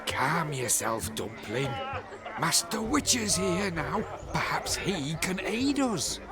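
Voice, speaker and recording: A man's voice: fairly high pitch, sing-song, slow pace. An actor playing a placid middle-aged village father in a video game, wry and soothing. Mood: calming. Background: loud background music.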